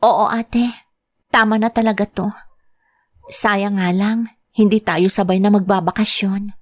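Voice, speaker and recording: A woman's voice: mid-range voice, steady tone, measured pace, close to an everyday voice. A woman playing a young woman working abroad who is heartbroken, talking in an emotional, tearful way in an audio drama. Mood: gentle. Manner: tearful, pleading, emotional outbursts. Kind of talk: Filipino audio drama acting. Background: soft music.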